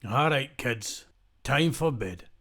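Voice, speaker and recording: A man's voice: medium pitch, expressive, slow, a bit bright. A man playing a blunt, wry survivor and loyal friend in an amateur audio drama. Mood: firm. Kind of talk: fan audio drama acting.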